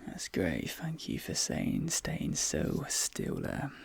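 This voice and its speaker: A man softly whispering and murmuring in a soothing, reassuring ASMR dentist roleplay, with breathy delivery and hesitant pauses, close to the microphone. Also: medium pitch, wildly swooping pitch. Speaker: ASMR performer role-playing a dentist. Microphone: close microphone, dry.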